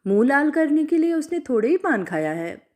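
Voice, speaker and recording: A woman storyteller narrating a literary story from a script in an expressive way. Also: high-pitched, normal speed.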